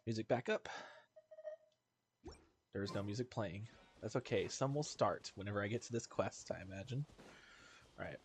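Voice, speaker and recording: A man's voice: lowish voice, moderate pace, long pauses, background noise. A man livestreaming a video game, rambling casually as he chats to his viewers. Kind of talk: casual gameplay stream chat.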